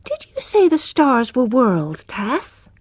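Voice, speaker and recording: A woman voicing an eager, curious young boy who asks high, excited questions. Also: takes it slowly, slightly rough, dry recording, studio quality, full character voice.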